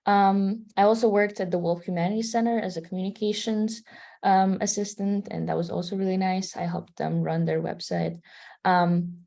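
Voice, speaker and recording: A young woman in her twenties from Kazakhstan, answering questions as a student panelist in a relaxed, conversational way. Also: on the low side, creaky. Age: 20s. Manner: conversational, thinking aloud between phrases.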